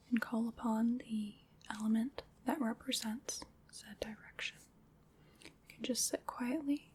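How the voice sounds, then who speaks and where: A woman playing a calm witch in an ASMR roleplay, leading a ritual in a hushed, soothing, deliberate whisper. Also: on the high side, slightly rough, full character voice.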